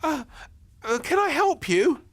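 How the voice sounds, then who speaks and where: A man doing a squeaky, panicky young boy. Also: big pitch swings, unhurried, a bit bright, full character voice. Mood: panicked. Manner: stammering and squeaky.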